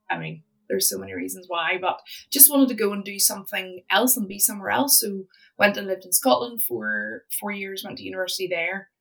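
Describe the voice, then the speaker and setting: Northern Irish woman, gravelly low voice, chatty with frequent ums, sounding distant from the mic. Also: fairly bright tone. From Northern Ireland. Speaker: podcast guest. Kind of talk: casual interview chat.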